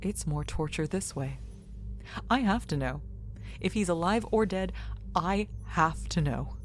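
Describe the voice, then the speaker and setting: Low-voiced, intense young daughter, played by a woman. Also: lively intonation, a little slow, faint music behind. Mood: determined. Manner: earnest, intense. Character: anguished, stubbornly devoted young woman, professor's daughter. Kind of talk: melodramatic radio drama acting.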